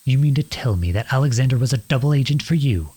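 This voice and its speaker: A man playing a defiant young outlawed prince in a dramatic audio roleplay, speaking earnestly and deliberately in a husky voice. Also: medium pitch, animated, dark tone. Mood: hurt, accusing. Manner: earnest, deliberate, pleading and accusing. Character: defiant outlawed young prince confronting his cruel king father.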